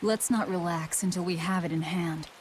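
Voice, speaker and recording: A woman's voice: fairly low pitch, steady tone, normal speed, slight background noise, lightly acted. Woman playing a stern, blunt crew leader. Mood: stern, impatient. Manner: blunt, impatient, commanding. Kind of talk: video game voice acting.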